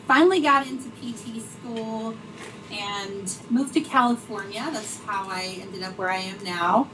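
A woman's voice: medium pitch, a little slow. A woman from North Carolina answering interview questions. She tells her story in a conversational way, using fillers like "you know" and "like". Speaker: guest, program director. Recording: distant mic with faint background noise.

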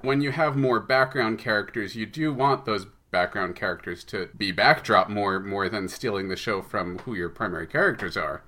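Male podcast guest, writer type. Bright, forward voice, analytical and conversational delivery. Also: on the low side, moderate pace, few pauses. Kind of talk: conversational interview.

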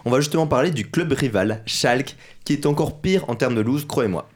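A man's voice: medium pitch, moderate pace. Man telling a football story on radio. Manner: lively storytelling with asides to listeners. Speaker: radio columnist. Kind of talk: reading a scripted football column.

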